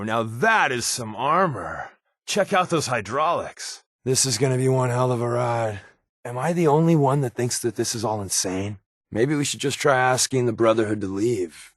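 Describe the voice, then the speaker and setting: An actor playing a wisecracking, sardonic spy companion in a video game, with casual scripted dialogue. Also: male voice, medium pitch, no room sound, studio quality. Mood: breezy, teasing. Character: wry, joking male spy companion with dry swagger.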